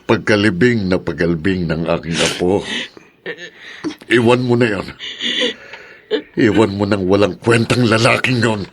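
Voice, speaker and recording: A man's voice: lowish voice, measured pace, long pauses. A Filipino man playing a protective, forgiving older father and grandfather in an earnest radio drama. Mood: stern.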